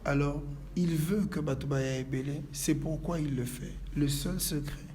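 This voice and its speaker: Mellow, measured Congolese-born pastor. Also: male voice, fairly high pitch, steady tone, slight background noise. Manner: measured, preacherly. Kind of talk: calm interview answers and prayer. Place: inside a church.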